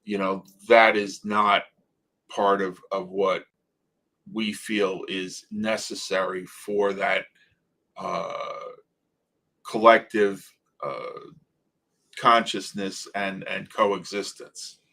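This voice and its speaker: A man co-hosts an interview in a lowish voice. He talks very slowly and in a halting way, with filler pauses between his phrases. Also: little pitch movement, gravelly, pushed and bright. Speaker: podcast co-host. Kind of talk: casual interview chat.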